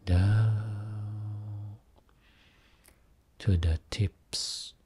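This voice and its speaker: Man, meditation teacher, deep husky voice, soothing short phrases, long pauses. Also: level tone, warm tone. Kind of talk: guided meditation.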